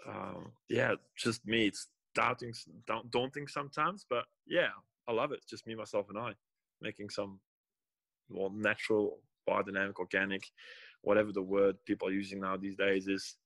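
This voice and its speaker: A man's voice: lowish voice, fairly even intonation, normal speed, gravelly, fairly bright tone, dry recording. Rambling young man, dry sound. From Belgium. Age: young adult. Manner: rambling, restarts and self-corrections. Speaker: guest winemaker. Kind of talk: casual interview.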